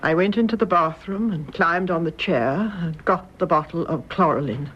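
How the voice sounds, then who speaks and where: A woman's voice: lowish voice, natural voice. Actress as a composed elderly mother, muffled hissy recording. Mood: grave, sorrowful. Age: older. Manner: measured, tender, dropping into hushed tones. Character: composed, stoic elderly mother confessing to mercy killing. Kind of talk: radio drama acting. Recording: muffled, hissy old radio drama recording.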